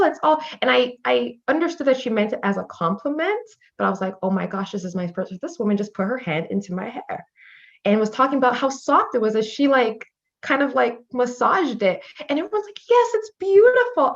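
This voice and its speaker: A woman's voice: medium pitch, vocal fry, dull audio. A woman in her thirties from Canada, speaking earnestly on a panel discussion with many fillers and growing intensity. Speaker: panel guest.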